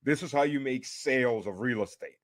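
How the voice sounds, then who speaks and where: A man's voice: mid-range voice, moderate pace, no room sound. Man giving commentary, dry close sound. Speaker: interview guest. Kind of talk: live political commentary.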